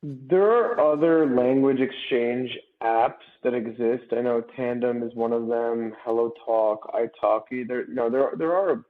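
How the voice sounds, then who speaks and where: A man speaks over a narrow, phone-like connection. He sounds like a founder in an interview, explaining his product conversationally and punctuating points with short tag questions. His pitch sits in a middle range. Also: unhurried, hardly any pauses. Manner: talkative, checks in with tag questions. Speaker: startup founder being interviewed. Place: remote call. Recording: muffled, phone-like remote call audio.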